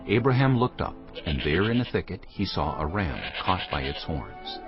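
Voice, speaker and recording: A male narrator reads scripture in a very low, lively-contoured voice. His storytelling is solemn and clearly phrased. Soft music sits behind a slightly dulled recording. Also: normal speed, lo-fi sound. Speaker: Bible narrator.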